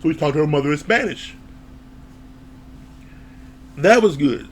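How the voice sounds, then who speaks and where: A man giving a casual, animated recap of a reality TV episode, laughing, swearing, and acting out what people said. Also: on the high side, unhurried. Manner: animated, laughs, acts out quoted lines, swears. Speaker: reality TV reviewer.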